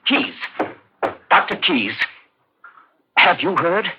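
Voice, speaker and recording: An actor playing a nervous, evasive doctor who is secretly an agent, in a 1950s radio drama. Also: male voice, sits high, big pitch swings, slow pace, heavy background noise, full character voice. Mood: anxious, fearful. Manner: hesitant, anxious, evasive. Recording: muffled old radio recording.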